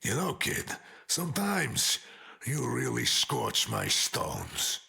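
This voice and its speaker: A gruff rock-skinned superhero bruiser from a video game, deeply gravelly and forceful. He talks in streetwise New slang, swinging up and down as he wisecracks, with a soft heart underneath. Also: wildly swooping pitch, slow pace, exaggerated voice. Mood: teasing banter. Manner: streetwise, slangy old-fashioned tough-guy talk. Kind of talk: video game hero banter.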